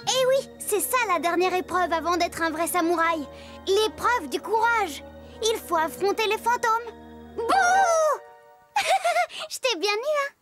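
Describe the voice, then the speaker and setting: An actor playing a chatty, playful young storyteller in a cartoon, teasing and lively, talking straight to the listener. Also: very high-pitched, slow, full character voice. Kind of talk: animated storytelling to children. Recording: music behind the voice.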